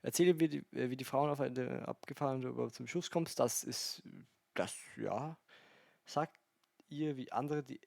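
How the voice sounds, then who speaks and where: A man's voice: mid-range voice, moderate pace, gravelly, darkish tone, dry recording, studio quality. A man making casual, mocking commentary while playing a video game. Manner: mocking, chatty. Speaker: let's player.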